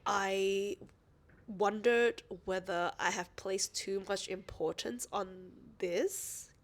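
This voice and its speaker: A woman in her thirties, a podcast co-host, talking casually and a little sniffly, often restarting her sentences. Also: medium pitch. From Australia. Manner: rambling, self-interrupting, quick to laugh. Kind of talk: casual chat.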